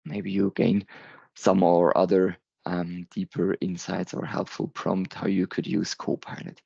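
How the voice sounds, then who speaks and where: A man's voice: low voice, completely flat delivery, measured pace, creaky, dark tone, breathy edge, dry recording, muffled recording. A man in his thirties with a German accent, giving a recorded talk, earnest and sometimes stumbling over words. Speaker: presenter.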